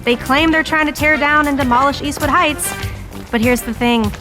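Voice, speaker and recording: A woman's voice: high-pitched, normal speed, close to an everyday voice. A woman playing a chatty, opinionated live news personality in an audio drama. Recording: music bed under the voice.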